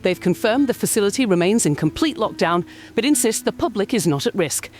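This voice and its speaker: A woman's voice: medium pitch, lively intonation, brisk, close to an everyday voice. A woman acting as a serious news reporter in an audio drama. She delivers a scripted field report with formal, precise and controlled phrasing. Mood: grave, controlled.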